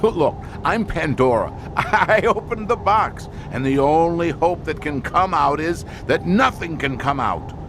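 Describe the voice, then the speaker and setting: An actor playing an unhinged, paranoid man in an audio drama, talking in halting phrases broken by manic laughter. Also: expressive. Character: unhinged man gripped by paranoid terror of an imitating monster. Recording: constant noisy rumble behind the voice.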